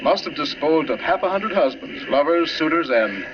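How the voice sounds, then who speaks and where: An actor playing an eccentric learned doctor who savours grim tales theatrically, in a 1940s radio drama on a noisy, dull recording. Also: male voice, fairly high pitch, very animated, normal speed. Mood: macabre amusement.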